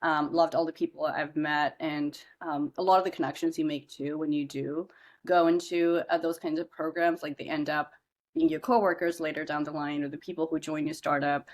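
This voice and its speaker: Young woman from india, low deep voice, startup founder chatting on a podcast, lots of ums and restarts. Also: fairly even intonation, moderate pace. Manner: chatty, many fillers and restarts. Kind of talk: casual podcast interview.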